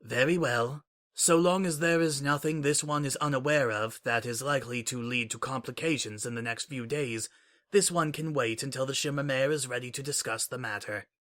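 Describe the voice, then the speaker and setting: A man voicing a calm, inscrutable changeling assassin in an audiobook-style reading, speaking in a level, formal, measured way. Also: medium pitch, natural voice.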